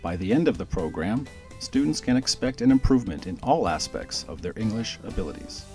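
A man reading a scripted promotional voice-over in a smooth, even delivery. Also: lowish voice, fairly quick, faint music behind. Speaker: promo narrator.

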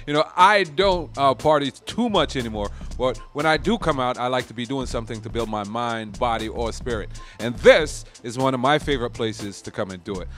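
A man's voice: mid-range voice, brisk, a bit bright, faint music behind. A middle-aged man hosting a TV show, playful and sing-song, talking into a handheld mic indoors. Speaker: TV show host. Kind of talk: energetic TV host chat. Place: bookstore cafe indoors.

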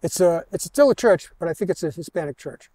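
Older male tour guide, American. Higher-pitched, relaxed tone, halting storyteller, headset mic outdoors with no echo. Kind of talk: informal guided history talk. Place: outdoors in an open parking lot.

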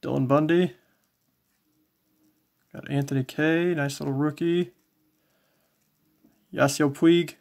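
A man casually commentating as he shows collectibles, in a low-key, folksy way, thinking aloud. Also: fairly even intonation, slow, creaky, long pauses, a little mellow. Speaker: trading card collector and video host. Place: indoors, small home tabletop setup.

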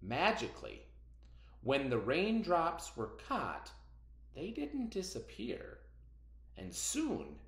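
A middle-aged American man giving a reading lesson to children as their teacher, expressive and fond of posing questions. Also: fairly high pitch. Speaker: elementary school teacher. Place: indoors, roomy-sounding room. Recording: echoey room sound.

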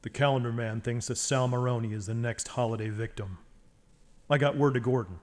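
A man's voice: mid-range voice, a little fast, a little mellow, close to an everyday voice. A man plays a driven masked detective in a noir radio drama. He is grim and terse, pressing hard like an interrogator and brooding as he narrates.

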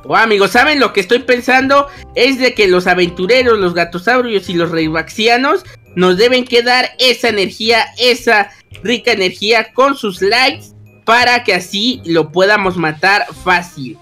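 A man's voice: high-pitched, moderate pace, pushed and bright, faint music behind. A man playing a small valiant boy rallying his friends, earnest and theatrical, in an improvised Minecraft roleplay.

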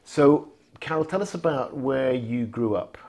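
A man conducts an oral history interview and keeps his prompts short and gentle. He asks questions and reacts briefly, and he sounds a little off-mic. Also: medium pitch, animated, a little slow.

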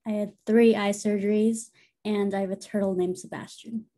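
A woman's voice: medium pitch, steady tone, normal speed, mellow. A woman born in China chatting casually as she introduces herself on a video call.

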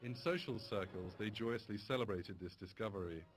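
A man with a low voice gives measured, formal documentary narration. Music plays clearly under his voice throughout. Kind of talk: scripted narration. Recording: documentary audio over music.

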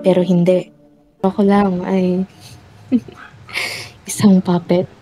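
A woman's voice: lowish voice, flat delivery, very slow, warm tone, soft background music, low-quality recording. A woman playing a gloating fake superhero with evil plans in a game roleplay, smug and taunting. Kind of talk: scripted game roleplay acting.

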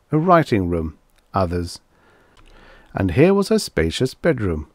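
A man narrating a scripted short story in an expressive, clearly phrased manner, close to the microphone. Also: low-pitched, no room sound. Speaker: audiobook narrator.

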